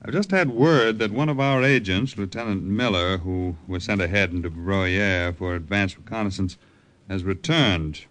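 An actor playing a brisk army colonel who gives orders in clipped phrases broken by small hesitations, 1940s radio acting. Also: male voice, sits low, fairly bright tone. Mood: concerned. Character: male army colonel, brisk commanding officer.

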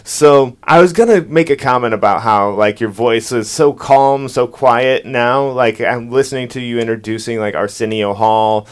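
A man hosting a casual interview, asking questions in an informal, slightly halting conversational way. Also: mid-range voice, clean tone. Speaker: interviewer, host.

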